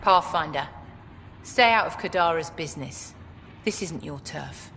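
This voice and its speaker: A woman's voice: low voice, lively intonation, unhurried, pushed and bright, big-room sound, a little hiss or hum, heavily acted. A woman playing a hardened, short-tempered outlaw leader in a video game, speaking in a blunt, menacing way. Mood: curt, dismissive. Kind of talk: video game cutscene acting.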